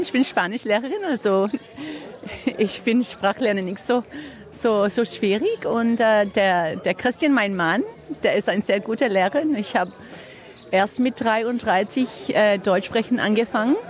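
Woman speaking hesitant learner German. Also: a little high. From Australia. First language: English. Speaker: interviewed parent. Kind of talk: casual interview answers.

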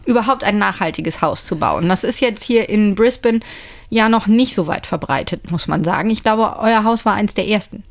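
A woman from Germany interviewing a couple, asking quick, curious questions. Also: medium pitch.

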